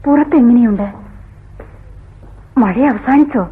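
A woman's voice: fairly high pitch, measured pace, husky. An actress playing an anguished, accusing woman convict haunted by her killings, in a theatrical, declamatory radio drama style. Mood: haunted, brooding. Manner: dramatic, declamatory, swinging from hushed to crying out. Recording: tinny, phone-like old radio recording.

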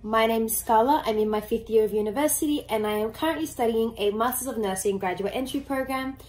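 A woman's voice: a little high, quick pace, a little mellow. A woman in her twenties, a university student speaking enthusiastically about her studies into a webcam. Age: 20s.

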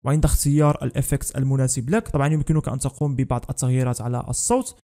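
Fast-talking mellow young man, tutorial narration. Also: medium pitch, fairly even intonation. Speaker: tech tutorial channel host.

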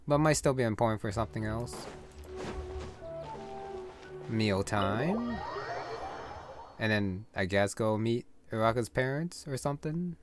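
A man's voice: medium pitch, expressive, measured pace, long pauses, faint music behind. A man giving casual playthrough commentary as a gamer.

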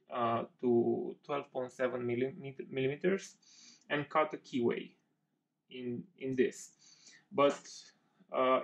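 A man's voice: medium pitch, gravelly. A man in his forties from Romania with a Romanian accent, explaining a project in his home workshop, hesitant and often correcting himself. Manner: hesitant, self-correcting, many fillers. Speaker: maker vlogger. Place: home workshop with pegboard and bench.

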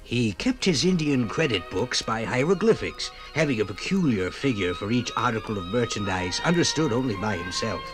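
A man's voice: mid-range voice, expressive, moderate pace, hardly any pauses, over music, lightly acted. An actor playing a frontier fur trader reciting his written account with formal diction in a 1950s radio dramatization. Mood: formal recounting.

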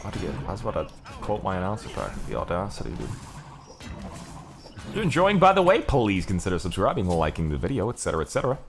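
A man streaming a video game with casual, profane commentary, excitable and sarcastic in manner. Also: on the low side, quick pace, music in the background.

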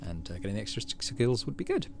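A man hosting a gaming video, mixing casual commentary with expressive reading aloud of story text. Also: lowish voice, quick pace, background noise.

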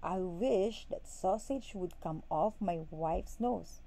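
Lady doing a hapless henpecked woodsman guy from a storybook, very soft mellow tone. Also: fairly low pitch, takes it slowly, vocal fry, exaggerated voice, low-quality recording. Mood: pondering. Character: henpecked, simple, apologetic male woodsman. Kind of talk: storybook character voice.

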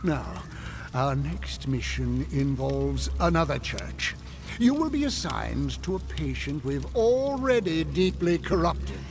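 Smug, scheming demon boss for radio drama; unhurried, swooping intonation, sly condescension. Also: male voice, a little high, sing-song, exaggerated voice. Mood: businesslike scheming. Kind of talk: scripted audio drama acting.

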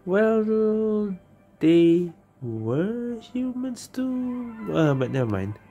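A woman's voice: mid-range voice, sing-song, very slow, warm tone, lo-fi sound. A woman streamer giving casual gameplay commentary and reacting to the game. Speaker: gaming streamer. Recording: game music behind.

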